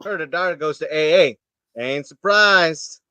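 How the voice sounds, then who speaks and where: A woman acts a laconic small-town man in a staged comedy. The tone is bright and hard, recorded close and dry. The manner is blunt and folksy. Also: sits low, unhurried, no room sound. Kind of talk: staged reading of a comic play.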